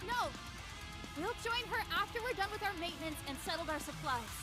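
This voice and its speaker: A woman's voice: very high-pitched, expressive, fairly quick. A woman voicing an earnest, girlish android gunner in an anime-style game. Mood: assured. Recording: loud music behind the voice.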